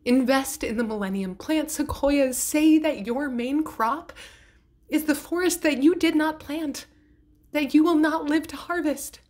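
Slow, measured woman reciting poetry. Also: high voice, a little mellow. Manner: measured, deliberate delivery with pauses between phrases. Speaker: poetry reader.

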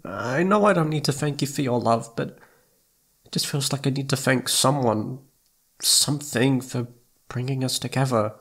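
Man acting a needy, soft-spoken submissive boyfriend. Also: medium pitch, moderate pace. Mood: adoring, grateful. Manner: soft, breathy, hesitant stammering, pleading. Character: needy, adoring, submissive young boyfriend, shy and tender. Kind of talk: ASMR boyfriend roleplay.

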